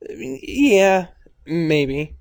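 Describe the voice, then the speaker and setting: A man's voice: high-pitched, very animated, drawn-out words, vocal fry. A man giving casual, blunt commentary while watching a movie. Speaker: film commentator.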